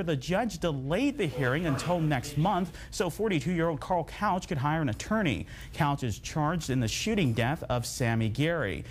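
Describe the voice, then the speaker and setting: A man's voice: a little high, a little hiss or hum. A man with an American accent reading scripted news as an anchor.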